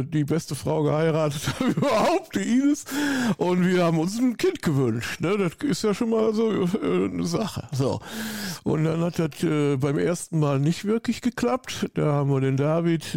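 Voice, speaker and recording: A man's voice: on the high side, creaky, warm tone, no echo, clean studio sound. A middle-aged man with a Rhineland German accent, talking effusively and rambling with many fillers as an interview guest. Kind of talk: casual interview.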